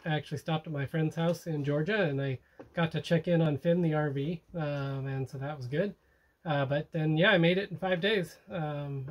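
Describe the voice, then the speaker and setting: This is a middle-aged man talking straight to a close camera from inside a small motorhome. He looks back on a trip in an easygoing, rambling way, stopping on fillers and restarting his sentences. His voice sits a little higher than usual for a man. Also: lo-fi sound. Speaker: sailing vlog host. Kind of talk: casual narrated recap to camera.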